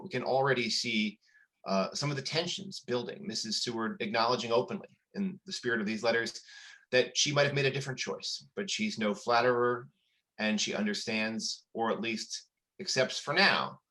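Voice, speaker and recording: Bright-voiced man presenting history. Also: on the low side, low-quality recording. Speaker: narrator and presenter. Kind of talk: scripted historical commentary between readings.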